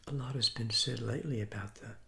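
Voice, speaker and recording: A man in his sixties, hoarse from a cold, reviewing fast food in a soft, half-whispered ASMR style with many restarts, seated at a table indoors. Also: medium pitch, background noise. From Southeastern United States. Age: 60s. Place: small room at a table, indoors. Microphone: fixed camera mic at close range.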